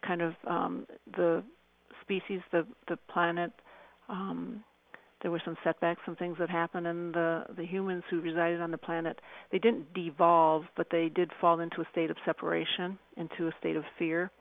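A middle-aged woman with a noticeably creaky, fry-laden voice explains things as a guest on a radio interview. Her intonation stays flat and narrow. She speaks earnestly and haltingly, circling back to repeat phrases for emphasis. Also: fairly low pitch.

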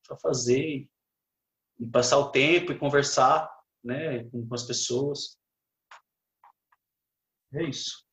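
A man with a Brazilian accent, a guitarist chatting as a podcast guest in a relaxed, halting way full of fillers and restarts. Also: medium pitch. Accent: Brazilian Portuguese. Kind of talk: casual podcast chat. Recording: roomy echo.

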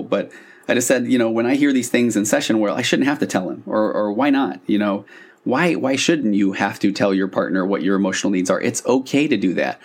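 A middle-aged man with a fairly low voice talks as a podcast host and therapist. His delivery is informal and rambling, explaining ideas conversationally and slipping into illustrative examples.

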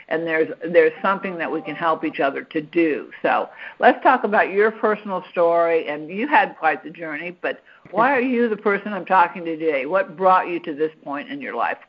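A woman hosting an interview podcast, introducing her guest and asking questions in an encouraging way. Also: lowish voice. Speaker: podcast host. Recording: dull, lo-fi podcast audio.

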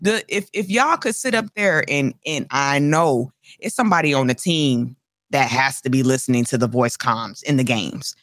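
A woman's voice: a bass voice, normal speed, fairly bright tone, no room sound, studio quality. A woman gamer speaking as a guest in a casual discussion, impassioned and emphatic, repeating words for force.

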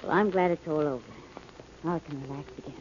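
An American actress playing a detective's playful, determined sweetheart in a 1940s radio drama, with a coy, insistent manner. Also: female voice, sits low, fast, a little mellow, close to an everyday voice. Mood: relieved, curious. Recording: dull, noisy vintage radio recording.

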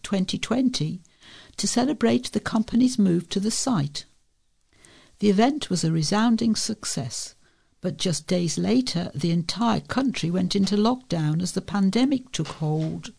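A woman's voice: mid-range voice, creaky, dark tone. A woman reading a local newspaper feature aloud as a volunteer reader.

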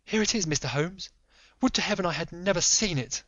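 A man playing a haughty lady of rank, her voice pitched high and tight with strain. The audiobook sound is dry and a little dull. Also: animated, a little fast, a bit bright, no room sound. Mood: pleading. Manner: high, strained, pleading delivery. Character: proud aristocratic lady, desperate, defiant. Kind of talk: audiobook reading.

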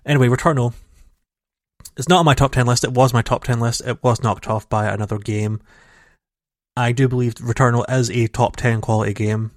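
A man's voice: medium pitch, steady tone, fairly quick, dry recording. A man co-hosting a casual gaming podcast, talking in long rambling runs full of restarts, recorded raw on a home computer mic. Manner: rambling, many restarts and fillers. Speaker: podcast co-host. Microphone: home computer mic over a call. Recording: dry raw home audio.

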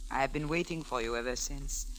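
An actress playing a mysterious, insistent woman in a 1940s radio drama, speaking in a measured, formal, pleading way. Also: very deep voice, a little hiss or hum, low-quality recording. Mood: poised, insistent. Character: mysterious, insistent woman seeking her missing husband.

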